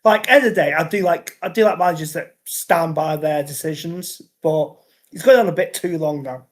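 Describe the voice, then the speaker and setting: Chatty, slightly high-voiced young English bloke. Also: male voice, moderate pace. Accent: Northern English, Scouse-tinged. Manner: excitable, bouncy, chatty. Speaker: podcast co-host. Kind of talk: casual football chat.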